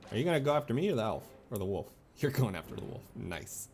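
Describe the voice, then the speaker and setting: Low-voiced man casually commentating a game. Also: big pitch swings, fast talker, creaky, over music. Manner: sweary, playful. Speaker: game streamer.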